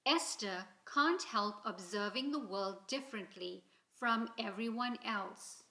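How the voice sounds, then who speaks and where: Bright-voiced woman reading a storybook, roomy sound. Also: mid-range voice. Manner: expressive, deliberate storytelling for young listeners. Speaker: storybook reader. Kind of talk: children's story narration, read aloud. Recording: roomy, echoing indoor sound.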